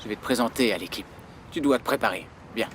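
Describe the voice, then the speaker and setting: An actor playing an impatient agent who scolds a late singer, in a French dub. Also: male voice, on the low side, fast, a bit bright, slight background noise, close to an everyday voice. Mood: brisk.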